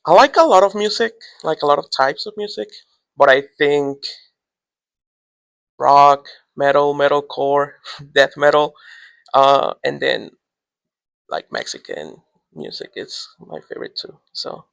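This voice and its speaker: A man whose first language is Spanish, a teacher answering interview questions in a relaxed, rambling way that trails off. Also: on the high side, a little slow, gravelly, long pauses, dry recording.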